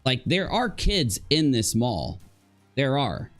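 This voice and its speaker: A man commentates casually on a livestream in a middling-pitched voice. He thinks aloud in a halting way and often turns his points into questions. Music plays softly behind him. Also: big pitch swings. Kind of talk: casual live reaction commentary.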